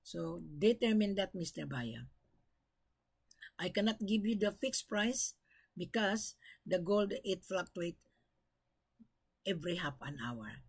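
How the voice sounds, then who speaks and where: Blunt, gravelly-voiced woman scolding buyers. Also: fairly low pitch, expressive, takes it slowly, long pauses. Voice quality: gravelly, husky voice. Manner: blunt, scolding, repeats her points. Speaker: gold broker. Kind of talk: lecturing monologue to buyers.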